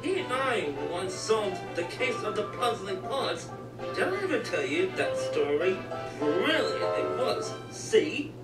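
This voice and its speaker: Someone voicing an excitable, swooping cartoon engine sidekick. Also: mid-range voice, takes it slowly. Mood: excited, eager. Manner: gushing, giggly, eager. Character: excitable, eager young diesel engine sidekick, bubbly. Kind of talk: cartoon fan dub. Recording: echoey, distant, loud music behind.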